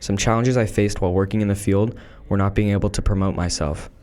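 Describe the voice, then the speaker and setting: A man's voice: fairly low pitch, steady tone, fast, a little mellow, lightly acted. A man playing a devout male scientist of the 1800s, reading a prepared script aloud in a school podcast role-play. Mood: earnest.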